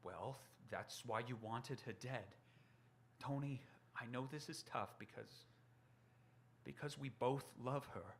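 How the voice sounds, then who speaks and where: An actor playing an earnest, desperate writer who urgently tries to persuade a friend in a radio drama. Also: male voice, medium pitch, takes it slowly, long pauses, a little hiss or hum. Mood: urgent, persuasive. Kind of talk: stage radio drama acting.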